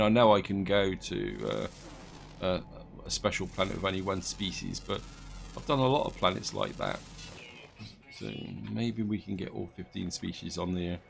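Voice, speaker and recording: A man casually commenting on his gameplay as he plays, thinking aloud and correcting himself, his voice slightly croaky from allergies. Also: deep voice. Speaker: gaming video host.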